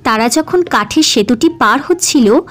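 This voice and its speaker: A woman's voice: a little high, lively intonation, moderate pace, soft background music. A woman narrating a scripted fairy tale for children in storybook style.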